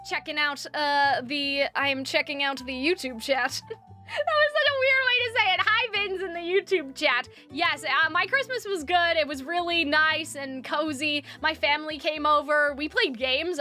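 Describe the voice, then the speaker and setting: A woman's voice: high voice, normal speed, sharp tone, soft background music. A young adult woman streamer in casual chat, rambling with fillers and restarts, her voice dry from lack of water.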